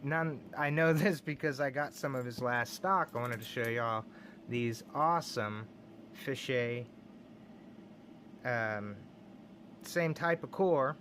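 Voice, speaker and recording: A man with a Texan drawl talks in an easygoing, rambling way and keeps breaking into small chuckles. His pitch sits in a middle range. A faint hiss runs under a plain camera-mic recording made at home. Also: slow, pauses often, fairly bright tone. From Texas. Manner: enthusiastic, rambling, says y'all, chuckles often. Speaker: lock collecting channel host. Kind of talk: casual show-and-tell vlog.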